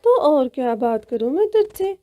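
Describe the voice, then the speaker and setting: Woman voicing a high, wailing, doting old aunt bird. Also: sing-song, normal speed, dark tone, dry recording. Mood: nagging. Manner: wailing, dramatic, nagging. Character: fussing, scolding, doting elderly aunt bird.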